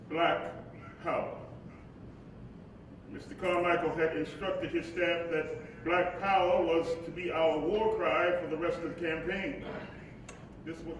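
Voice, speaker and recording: Distant, noisy and heavily echoing hall recording of a man playing a preacher's spirit looking back on his life. He delivers solemn, deliberate stage oratory in a slow cadence. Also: a little high, close to an everyday voice. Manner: measured, deliberate, weighty phrasing. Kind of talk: staged reading, oratorical monologue.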